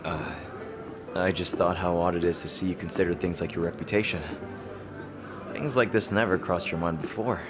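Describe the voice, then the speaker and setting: A man playing a guarded, flustered, gently teasing rival fantasy king in an intimate roleplay audio drama, speaking hesitantly. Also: deep voice, steady tone, over music, natural voice. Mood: awkward, flustered. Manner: hesitant, halting.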